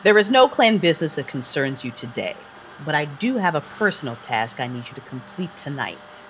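An actress playing a domineering vampire clan leader in an audio drama, mocking and condescending. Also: female voice, sits low, sing-song, normal speed, background noise. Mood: mock-surprised, commanding.

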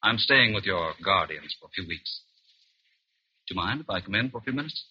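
An insistent doctor in a vintage radio thriller, with a very sharp, rough-edged, lively voice. He is patronizing and persuasive. The recording is muffled. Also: low-pitched, fairly quick, heavily acted. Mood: soothing, insistent. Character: smooth-talking, insistent male family doctor. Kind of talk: old-time radio suspense acting.

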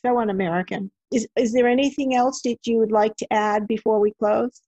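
A woman's voice: fairly high pitch, fairly even intonation, a little fast, warm tone, no echo. A woman hosting an interview, asking questions and commenting in a conversational way, on a dull, lo-fi recording. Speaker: interviewer, host.

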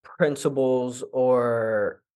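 A young man being interviewed, speaking with a stutter that repeats opening sounds. His delivery is halting and full of fillers, and his pitch stays fairly level, with little rise and fall. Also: fairly even intonation, darkish tone, poor audio. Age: young adult. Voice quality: stutters with repetitions. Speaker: interview guest. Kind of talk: conversational interview.

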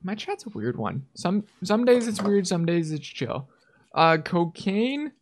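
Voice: mid-range voice, very animated, a little slow, slight background noise. A livestream host chatting casually with a guest in an informal, encouraging way. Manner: informal and encouraging, with 'man' interjections. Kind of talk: casual chat.

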